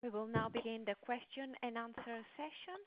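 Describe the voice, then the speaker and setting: A woman's voice: fairly high pitch, normal speed, very noisy. A woman working as a conference call operator, reading scripted instructions to callers. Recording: dull conference phone audio.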